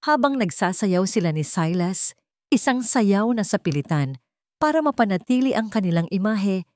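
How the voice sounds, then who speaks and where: A woman's voice: mid-range voice, sing-song, normal speed, a little mellow, no echo. A woman narrating a scripted dramatic story as an audio storyteller.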